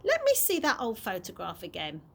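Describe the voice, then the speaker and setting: Woman playing an eager young girl, high and lively. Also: brisk, gravelly, heavily acted. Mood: surprised. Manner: eager, questioning, polite. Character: curious, earnest, kind young girl.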